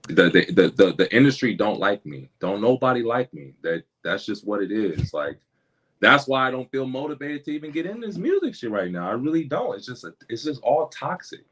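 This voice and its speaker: A young man, a rapper guest, talking candidly in a podcast conversation, halting and repeating words, with casual slang. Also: medium pitch, sing-song, fairly bright tone, husky.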